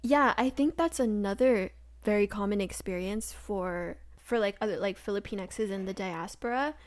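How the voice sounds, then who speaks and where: A woman's voice: mid-range voice, normal speed, dry recording. Young American woman, casual co-host, rambling filler-heavy delivery, dry close mic. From United States. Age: young adult. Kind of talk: casual chat.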